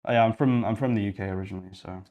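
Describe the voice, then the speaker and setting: Low-voiced man streaming casually. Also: rapid-fire, warm tone. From Yorkshire, England. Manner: rambling, thinking aloud while clicking around. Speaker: livestream coding host. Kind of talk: casual livestream chat.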